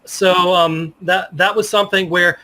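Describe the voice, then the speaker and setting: A man's voice: high-pitched, fairly even intonation, measured pace, sharp tone. A middle-aged American man chatting and telling anecdotes as a guest in a casual interview. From United States. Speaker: interview guest, sports journalist. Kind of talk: casual interview conversation, reminiscing.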